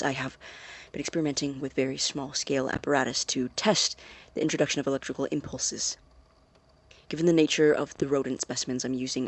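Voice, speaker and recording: An actor playing an obsessive scientist in an audio drama, dictating a log in a clinical, precise but halting husky voice. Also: male voice, mid-range voice, no echo, lightly acted. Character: obsessive male scientist logging unethical experiments on tape.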